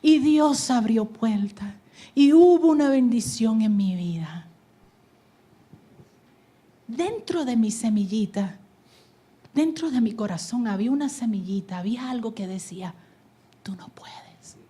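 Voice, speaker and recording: A woman from New Jersey giving a preaching testimony at a conference, moving from loud exhortation to hushed whispers. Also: a little high, expressive, measured pace, long pauses, slightly rough. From United States (New Jersey). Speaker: conference speaker. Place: conference hall stage.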